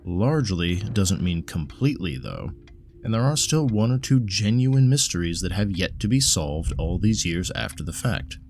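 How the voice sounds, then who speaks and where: Man playing a measured, pedantic bookish scholar. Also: sits low, big pitch swings, a little mellow, slight background noise. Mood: patient lecturing. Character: bookish, earnest male occult scholar, bespectacled, exasperated. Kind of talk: audio drama storytelling.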